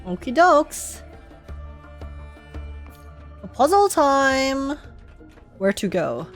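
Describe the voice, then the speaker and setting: A woman giving excitable, slangy, casual commentary as she streams and reacts to a video game's story. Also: sits high, drawn-out words, faint music behind. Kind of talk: casual gaming livestream commentary.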